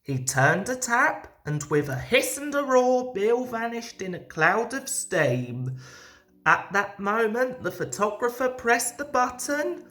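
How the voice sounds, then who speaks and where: A woman narrating a scripted children's story as an expressive read-aloud. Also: fairly high pitch, slow. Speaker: storybook reader.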